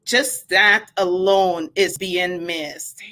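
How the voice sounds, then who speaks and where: A woman's voice: fairly low pitch, fairly even intonation, drawn-out words, sharp tone. A woman from Guyana with a Guyanese accent, chatting casually and reflectively as an interview guest.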